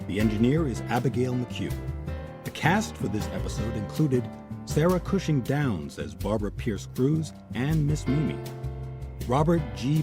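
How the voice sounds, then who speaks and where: A man hosting and narrating a radio-style history program, reading a script in a measured, earnest way. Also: medium pitch. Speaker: host and narrator. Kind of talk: scripted narration and closing credits.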